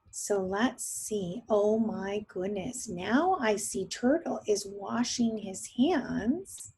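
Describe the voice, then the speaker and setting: A woman teacher reading a story aloud to young children, expressive and often thinking aloud with questions for her listeners. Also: mid-range voice, breathy edge, low-quality recording.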